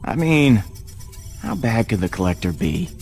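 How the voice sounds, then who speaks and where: A man's voice: lowish voice, big pitch swings, unhurried, creaky, a little mellow, soft background music, full character voice. A cocky space rogue, swinging his voice up and down as he jokes and bluffs. His manner is offhand and breezy, with a light game score behind him. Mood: hesitant. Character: cocky roguish male space captain, wisecracking. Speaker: game character.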